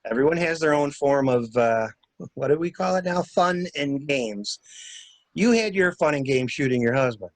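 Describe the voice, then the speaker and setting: A man reading the part of a cool, scheming traveler in a murder mystery drama, brisk and instructing with a wry, playful streak. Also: a little high, expressive, no echo. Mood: wry, teasing. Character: cool, scheming male traveler taking charge of a crime cover-up.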